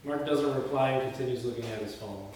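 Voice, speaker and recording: A man's voice: medium pitch, quick pace, darkish tone. Man reading screenplay, very echoey and distant. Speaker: host and narrator of a script read-through. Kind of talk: reading screenplay directions aloud. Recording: echoey hall from far off, faint noise.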